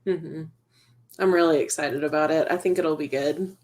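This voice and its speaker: A woman chatting casually with a reading group over a video call, soft-spoken and agreeable. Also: low voice, steady tone, moderate pace, a little hiss or hum. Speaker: guest reader. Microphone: video call mic.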